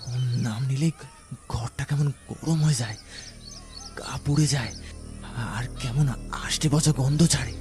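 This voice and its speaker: A man's voice: mid-range voice, moderate pace, long pauses, darkish tone, faint music behind, close to an everyday voice. An actor playing a nervous, foul-mouthed, superstitious village man in a horror audio story, coarse and snappish. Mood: uneasy, snappish.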